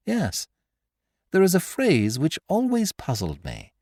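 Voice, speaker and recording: A man's voice: high voice, huge pitch swings, takes it slowly, a little mellow, no echo, clean studio sound, voice pushed up, full character voice. A man doing a brash, exultant young detective. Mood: explaining. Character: excitable young male amateur detective.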